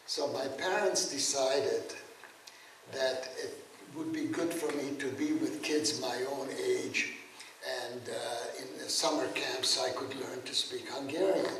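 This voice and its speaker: Slow halting old man in echoey room. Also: medium pitch, fairly even intonation, a bit bright, a little hiss or hum. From Czechoslovakia. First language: German. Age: 80s. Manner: halting, many fillers and restarts. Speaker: guest speaker, Holocaust survivor. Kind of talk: spoken testimony lecture. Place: lecture room. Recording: very echoey room, mic far off.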